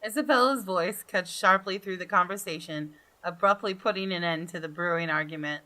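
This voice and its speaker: A woman hosts a game playthrough in a slightly low, bright voice. She narrates a visual novel with expression. She also drops in casual commentary of her own. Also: brisk, poor audio. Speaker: gaming video host.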